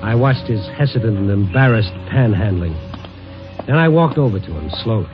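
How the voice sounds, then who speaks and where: A man's voice: on the low side, lively intonation, normal speed, close to an everyday voice. An actor playing a worldly, kindly American newspaperman who narrates and acts in a mid-century radio drama. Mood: somber, reflective. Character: worldly, protective newspaperman narrating his own story, kindly. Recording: dull old radio recording with music.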